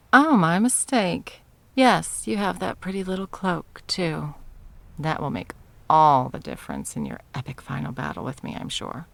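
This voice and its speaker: A woman's voice: extremely low pitch, vocal fry, no room sound, full character voice. A woman playing a haughty, sadistic sorceress tyrant in a fantasy audio roleplay, with a theatrical, mocking and condescending delivery. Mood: condescending, amused. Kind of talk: scripted ASMR fantasy roleplay.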